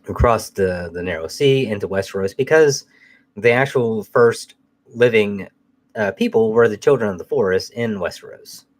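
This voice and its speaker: Man, host, stuffed-up nasal cold voice, rambling casual chat, somewhat low pitch. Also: normal speed. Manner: rambling, loose, swears casually.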